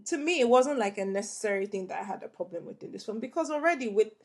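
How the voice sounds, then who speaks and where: A young woman co-hosting a film podcast, speaking in a measured, thoughtful way. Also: fairly high pitch, animated. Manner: measured, thoughtful, weighs her words. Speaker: podcast co-host, film reviewer. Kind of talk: casual review chat.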